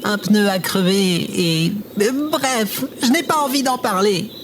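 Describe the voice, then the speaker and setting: A woman's voice: medium pitch, expressive, takes it slowly, slight background noise. A woman playing an anxious, self-pitying robot guard in a comedic audio drama, dramatic and whiny. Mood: distraught, whining.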